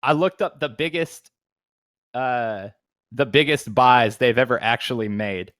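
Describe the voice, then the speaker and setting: A man's voice: medium pitch, a little slow, fairly bright tone, dry recording. A man co-hosting a casual podcast, chatting off the cuff in loose, slangy language. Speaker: podcast co-host.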